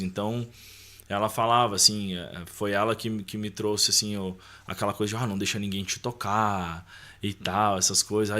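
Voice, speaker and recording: Guy, mid pitch, chatting casually on a podcast telling stories from his life, lots of little tag questions. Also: bright, forceful tone. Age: adult. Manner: conversational, punctuates with tag questions and quotes remembered dialogue. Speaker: podcast guest. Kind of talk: casual personal storytelling.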